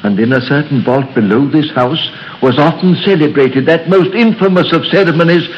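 Male actor as a solemn church elder, slightly high voice; dull old radio sound. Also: slight background noise, natural voice. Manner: slow, solemn, measured storytelling. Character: grave local church elder telling legends. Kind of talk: 1930s radio drama acting.

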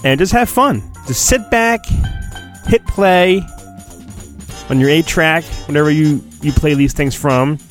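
A man's voice: on the high side, measured pace, long pauses. A middle-aged American man reading a storybook dramatically and breaking in with informal, wisecracking asides, recorded on his phone. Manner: informal, wisecracking, self-interrupting. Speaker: podcast host. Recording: homemade phone recording, roomy echo, faint music.

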